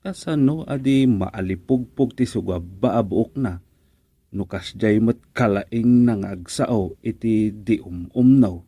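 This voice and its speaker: An actor playing a drowsy, easygoing village man in an Ilocano radio comedy, with drawn-out sighing interjections. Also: fairly low pitch, mellow, breathy edge, no room sound, heavily acted. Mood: drowsy, musing. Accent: Filipino, Ilocano.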